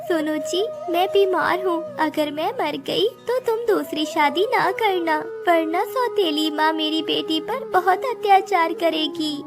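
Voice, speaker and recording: Woman as an anxious high-pitched ailing mother bird. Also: unhurried, few pauses. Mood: pleading, anxious. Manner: plaintive, worried. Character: sick, anxious mother bird worried for her daughter. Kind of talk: Hindi children's cartoon acting. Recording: music behind the voice.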